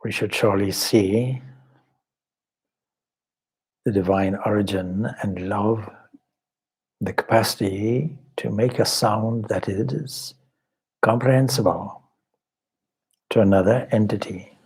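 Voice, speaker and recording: A man with a low, husky voice guides a meditation. He speaks very slowly and contemplatively, leaving long pauses between short phrases as a spiritual teacher does. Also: a little mellow, low-quality recording. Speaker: swami leading guided meditation.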